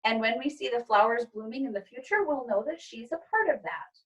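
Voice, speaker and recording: A woman's voice: on the high side, expressive, brisk, distant mic, close to an everyday voice, poor audio. A woman voicing a fussy, squeamish mother who talks in a flustered, rambling way.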